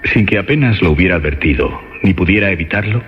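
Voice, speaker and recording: Deep, expressive Spanish suspense narrator. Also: male voice. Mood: ominous. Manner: measured, ominous storyteller. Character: grave male narrator of a suspense tale. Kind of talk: dramatic scripted narration, suspense radio play. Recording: reverberant, dull radio sound with music.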